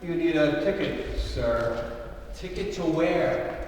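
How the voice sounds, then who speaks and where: A man's voice: on the high side, takes it slowly, darkish tone. An actor playing a theatrical man in a live one-act stage play. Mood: exasperated. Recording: very echoey hall, distant mic, noise.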